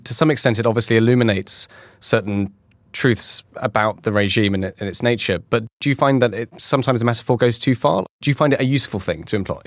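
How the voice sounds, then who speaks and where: A man's voice: medium pitch, quick pace, dry recording, studio quality. A man asking considered questions as an interviewer.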